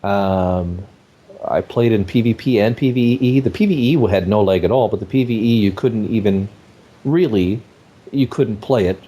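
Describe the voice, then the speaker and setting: A man's voice: lowish voice, moderate pace, mellow, breathy edge. A man hosting a casual gaming podcast, rambling on at length with plenty of fillers and restarts. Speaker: podcast host.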